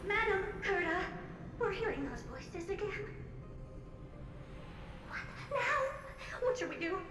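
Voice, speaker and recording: A woman's voice: very high-pitched, big pitch swings, slow, heavily acted. An actress voicing a female magic mirror servant in a video game, fawning, singsong and mischievous. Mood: anxious. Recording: echoey, distant, very noisy ambience.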